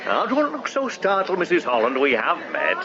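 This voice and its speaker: An actor playing an eccentric professor in a radio drama, teasing, brusque and old-fashioned in manner. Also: male voice, fairly high pitch, wildly swooping pitch, moderate pace. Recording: muffled radio recording, noisy ambience.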